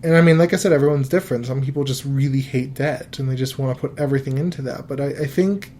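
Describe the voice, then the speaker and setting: A man in his early thirties hosts a casual money podcast at a middle pitch. He talks in a loose, rambling way and keeps restarting his sentences. A faint hiss sits behind his voice. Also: fast. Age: 30s. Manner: relaxed, rambling, with frequent fillers and restarts. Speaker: podcast host giving financial advice.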